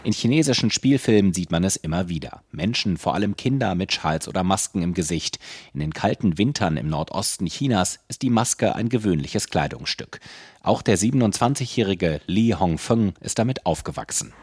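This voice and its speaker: Deep-voiced male radio correspondent. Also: expressive, moderate pace, darkish tone, slightly rough, dry recording, clean studio sound. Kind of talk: scripted radio report.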